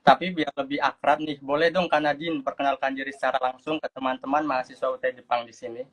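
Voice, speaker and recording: A man's voice: medium pitch, little pitch movement, fast talker. A young man from Tegal co-hosting a student podcast, introducing the guest in a friendly, chatty way. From Tegal, Central Java. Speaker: podcast co-host.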